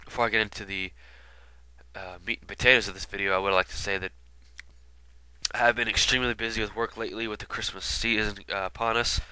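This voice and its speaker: A man's voice: fairly low pitch, sharp tone, smooth, no echo, low-quality recording. A man with a stuffy nose from being sick, commenting casually and rambling with lots of fillers. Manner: rambling, many fillers and asides. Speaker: video commentator. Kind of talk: casual album retrospective commentary.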